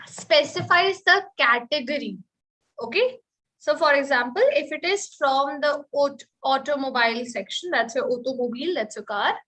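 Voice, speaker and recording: A woman's voice: sits high, a bit bright, poor audio. A woman with an Indian accent teaching students online, often checking in with "okay?". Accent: Indian English. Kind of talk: online class explanation. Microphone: video call.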